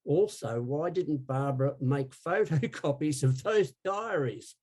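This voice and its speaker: A man's voice: fairly high pitch, a little slow, darkish tone. A man co-hosting a casual interview, reading questions sent in by listeners. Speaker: co-host, interviewer.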